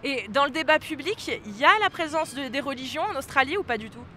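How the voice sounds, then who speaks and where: Bright-voiced woman interviewing in the street. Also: high voice, lively intonation, fast. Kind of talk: casual vox pop interviewing. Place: outdoors on a city street. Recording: outdoor street with light traffic noise.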